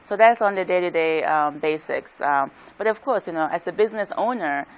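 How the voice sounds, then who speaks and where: A woman from Ghana, a building contractor, speaks in a very low, deep voice. She answers softly and hesitantly, filling pauses with "um.". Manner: soft-spoken, hesitant, frequent "um"s. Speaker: contractor, guest. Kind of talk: interview answers.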